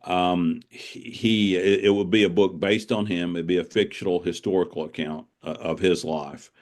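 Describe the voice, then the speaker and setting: Male author guest, mature, low register. Conversational, reflective storyteller with a halting, rambling delivery. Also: fairly even intonation, darkish tone. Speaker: author being interviewed. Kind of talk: casual conversational interview, storytelling.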